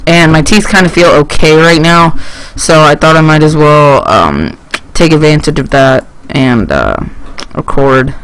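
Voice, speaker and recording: A teenage male gaming YouTuber giving casual, rambling and excitable gameplay commentary, speaking into a headset indoors. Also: a little high, clean tone, poor audio.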